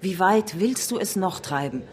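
An actress playing a stern, principled revolutionary leader in a German radio drama, firm and reproachful. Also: female voice, mid-range voice, expressive, normal speed, darkish tone, close to an everyday voice.

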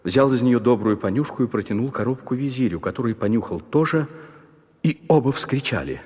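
A man narrating a fairy tale from a script in an expressive storyteller's style. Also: mid-range voice, brisk, husky, long reverb tail.

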